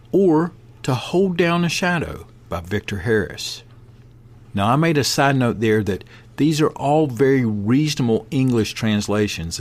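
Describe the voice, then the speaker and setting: A man hosting a podcast, reading a passage aloud and adding his own commentary in a measured way. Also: medium pitch. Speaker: podcast host.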